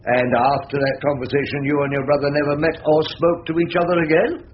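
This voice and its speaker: A man's voice: fairly high pitch, fairly even intonation, fast, natural voice. A man playing a crafty, ageing English barrister in a radio drama, with a ponderous, theatrical delivery. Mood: probing. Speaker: barrister and narrator. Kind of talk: British radio drama acting. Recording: muffled, noisy radio broadcast.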